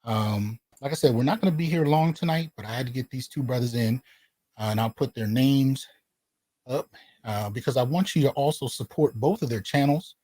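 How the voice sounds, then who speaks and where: A man's voice: mid-range voice, moderate pace, mellow, breathy edge, no echo. A man hosting an interview, speaking emphatically and often checking in with his listeners. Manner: emphatic, often asks "right?". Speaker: host.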